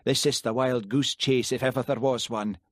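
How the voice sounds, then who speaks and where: A man's voice: mid-range voice, steady tone, normal speed, dry recording, pitched above the performer's own voice, natural voice. A man doing a dour, grumbling constable. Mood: gloomy. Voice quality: dry, grumbling. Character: dour Highland village policeman, wry and gloomy. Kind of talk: audiobook character voice.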